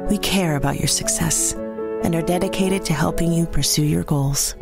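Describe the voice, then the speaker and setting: Female ad voice-over, quite low-pitched, scripted promo read over loud music. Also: moderate pace, creaky. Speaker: advertisement voice-over.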